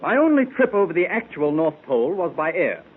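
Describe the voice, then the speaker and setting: A man playing a seasoned Arctic explorer captain, telling his adventure in a formal, measured way, in 1930s radio drama style. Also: fairly high pitch, big pitch swings, a loud crowd. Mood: recounting. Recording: tinny old 1930s radio transfer with faint hiss.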